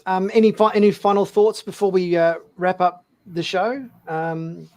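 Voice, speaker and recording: A man hosting a casual live show, polite and somewhat halting in the way he talks. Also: sits high, fairly even intonation, a little mellow. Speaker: host. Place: remote video call.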